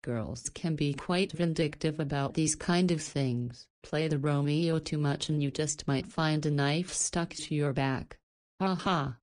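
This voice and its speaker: A confident visual novel heroine with a notably low voice. She is knowing and playful, forthright when she needs to be, and protective underneath the teasing. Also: measured pace, darkish tone.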